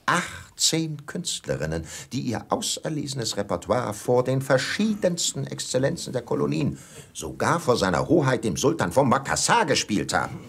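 Gravelly, pompous indignant hotelier. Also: male voice, medium pitch, big pitch swings, moderate pace, a bit bright, heavily acted. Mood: boastful. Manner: blustering, theatrical, insinuating. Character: pompous, indignant colonial hotelier, gossiping and scornful. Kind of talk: German radio play acting.

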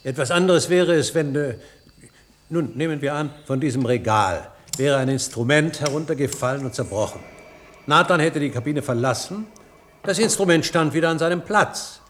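A man acting in a radio play as an impatient scientist who lectures in a brisk, dryly ironic, didactic way. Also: fairly high pitch, fairly bright tone, lightly acted. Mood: lecturing, ironic. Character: impatient, ironic male scientist, a lecturing professor explaining theories. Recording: noisy old radio play recording.

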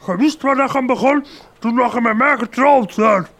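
An actor playing a crude, bossy rural Flemish husband in a radio comedy drama, gruffly barking orders in a gravelly voice. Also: male voice, very high-pitched, normal speed, fairly bright tone, a little hiss or hum. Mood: gruff, demanding.

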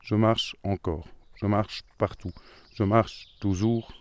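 A man's voice: lowish voice, fairly even intonation, slow. A man performing improvised spoken word in repetitive, musing phrases. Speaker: participant in an audio landscape piece.

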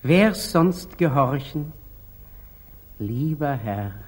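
An actor playing a humble, artless monastery lay brother with a hesitant, meek manner in a 1940s German radio drama. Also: male voice, a little high, expressive, drawn-out words, a little mellow, breathy edge, full character voice.

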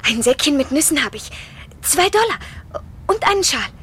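A woman's voice: high-pitched, big pitch swings, normal speed, a bit bright, slight background noise. An actress playing a naive, tipsy, wistful young woman in a radio play, speaking in a plaintive, searching manner.

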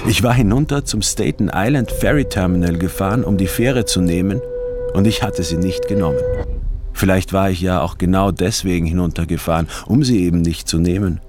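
A male actor plays a lost, brooding man telling his own story in a radio drama. His voice is very low and his delivery measured and inward. Strong music runs beneath the words. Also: lightly acted. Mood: brooding, reflective. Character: disoriented, brooding male first-person narrator adrift in a crowd. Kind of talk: literary first-person radio drama narration. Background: prominent music.

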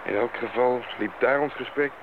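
An actor playing an earnest, observant expedition member in an old radio drama, explaining things reflectively on a noisy recording. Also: male voice, medium pitch, normal speed, phone-quality sound, lightly acted. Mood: puzzled, reflective.

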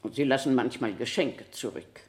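A woman's voice: extremely low pitch, normal speed, a little mellow. An actress playing a middle-aged, stubborn, chatty woman who claims clairvoyance, testifying matter-of-factly in a radio courtroom drama. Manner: emphatic, matter-of-fact, unshakeable. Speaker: witness in court. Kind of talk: 1970 German radio play acting.

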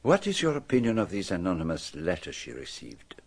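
A man's voice: sits low, huge pitch swings, a little fast, breathy edge, no echo, full character voice. A man playing a shrewd, courtly detective with a French accent in a radio drama, speaking with theatrical, probing courtesy. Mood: courteous, probing.

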